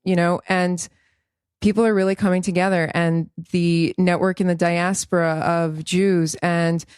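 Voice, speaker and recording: A woman's voice: fairly low pitch, clear, resonant tone, no echo, clean studio sound. A woman from Israel co-hosting a podcast, explaining at length in an earnest, rambling way with restarts. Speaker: podcast co-host. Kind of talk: casual podcast chat.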